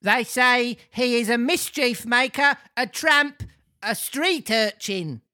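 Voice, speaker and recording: A woman voicing a chatty, high-energy old man in a storybook, with a campy, stop-and-start delivery. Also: slow pace, bright, forceful tone. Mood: disapproving.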